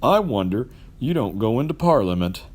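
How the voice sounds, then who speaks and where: A man's voice: mid-range voice, big pitch swings, normal speed, a little mellow, husky. A man voicing a stern, irritable old miser from a storybook, with a growling, indignant and curt delivery. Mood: sarcastic.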